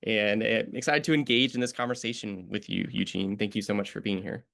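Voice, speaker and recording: A man with a low, gravelly voice hosts an educational interview podcast. He welcomes his guest warmly and asks long, earnest questions. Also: steady tone, a little fast. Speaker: podcast host.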